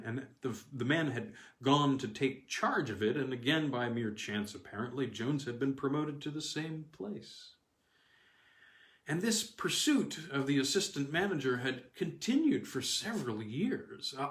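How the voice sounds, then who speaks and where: A roomy, echoing recording of a man reading an old weird story. His pitch sits in the middle for a man. He phrases with measured drama, leaving deliberate pauses as an audiobook narrator. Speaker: audiobook reader. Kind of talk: scripted narration of a weird tale.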